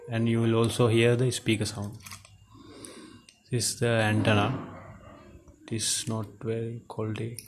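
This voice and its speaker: A man with a very flat, monotone voice walks through a gadget in an unscripted demonstration. He speaks slowly and haltingly, in short hesitant phrases, over audible background noise. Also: fairly low pitch. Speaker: presenter of a gadget teardown video. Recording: clear steady background noise.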